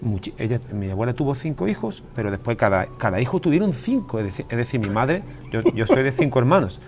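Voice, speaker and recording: A man's voice: mid-range voice, big pitch swings, fast, a little mellow, slight background noise. An Andalusian man, a writer being interviewed, talking casually with frequent restarts and repeated words. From Andalusia, Spain. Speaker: guest writer. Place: television studio.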